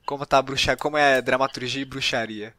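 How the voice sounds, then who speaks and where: A man with a Brazilian accent hosts a conversational interview. His voice has an average pitch. He phrases things loosely and tentatively, as in relaxed everyday talk. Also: fast, a loud crowd. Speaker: podcast interviewer.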